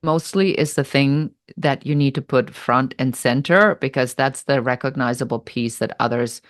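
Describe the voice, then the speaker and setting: A woman's voice: a bass voice, no echo. German-accented woman, dry close sound. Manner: deliberate, explanatory, pace shifts between slow and quick. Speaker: business strategist guest. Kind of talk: interview answer.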